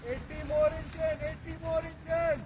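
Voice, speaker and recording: A man on an outdoor cricket field shouting encouragement to his teammates from a distance. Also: very high-pitched, level tone, a little slow, very noisy.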